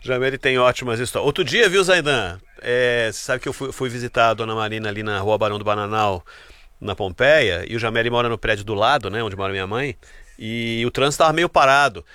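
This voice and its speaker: A man's voice: medium pitch, quick pace, bright, forceful tone, no echo. A man with a Brazilian accent hosting a casual sports chat on the radio. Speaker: radio sports show host.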